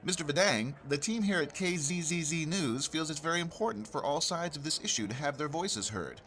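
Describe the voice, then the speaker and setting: A man playing an earnest local radio news anchor in a parody newscast, formal, measured and politely persistent. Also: fairly high pitch, animated, fairly bright tone. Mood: politely exasperated.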